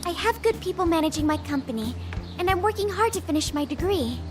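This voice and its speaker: An actress voicing a soft-spoken, very polite young woman who is a student and company heir in a game dub. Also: very high voice, animated, fast talker. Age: young adult. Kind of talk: video game voice acting. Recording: game cutscene with music behind.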